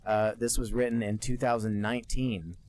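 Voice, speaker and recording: A man co-hosting a comedy podcast, reading a bad fan story aloud in a deadpan voice and adding mocking asides. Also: fairly low pitch, normal speed.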